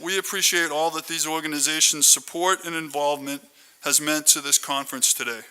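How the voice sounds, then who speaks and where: A man's voice: a little high, steady tone, a little fast, pushed and bright, smooth, low-quality recording. A man hosting a conference, giving a formal, prepared introduction speech. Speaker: conference host introducing keynote speaker.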